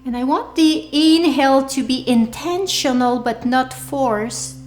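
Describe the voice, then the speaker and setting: Slow-paced woman guiding a meditation over music. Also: sits high. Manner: soothing, unhurried, deliberate pauses between short phrases. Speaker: meditation guide, workshop leader. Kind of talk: guided meditation. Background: soft background music.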